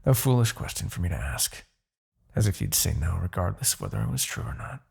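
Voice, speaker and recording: A man as a husky, gravelly, slow-talking demon king. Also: very animated, a little mellow, heavily acted. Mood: commanding, wary. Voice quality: husky, gravelly, breathy low voice. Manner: slow, brooding, condescending, theatrical with pauses and sighs. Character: arrogant demon king, gloating yet begrudgingly attached captor. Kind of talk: fantasy ASMR roleplay monologue.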